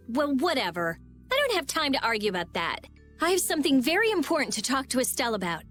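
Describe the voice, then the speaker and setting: A prickly teenage mage from a video game. She is high and highly expressive, blunt and impatient, with faint music underneath. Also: fast. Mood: irritated. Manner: blunt, snappy, absorbed. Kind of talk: animated video game acting.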